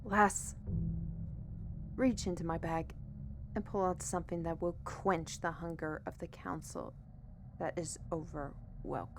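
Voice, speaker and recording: Actress doing a bossy composed fantasy woman, slightly low voice, formal orders, noisy ambience behind, audio drama. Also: lively intonation, measured pace, pauses often. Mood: commanding, composed.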